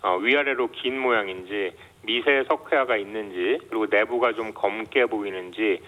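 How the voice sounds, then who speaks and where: Man explaining, on dull lo-fi radio. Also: fairly low pitch. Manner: measured, explanatory, many filler hesitations. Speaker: medical doctor guest. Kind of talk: explaining medical facts in a radio interview.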